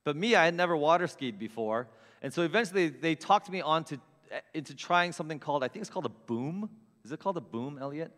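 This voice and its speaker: Animated male preacher in a reverberant hall. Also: fairly high pitch, quick pace. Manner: animated, self-mocking storyteller with big swings in loudness. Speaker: pastor. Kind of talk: storytelling sermon. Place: church sanctuary with long reverb.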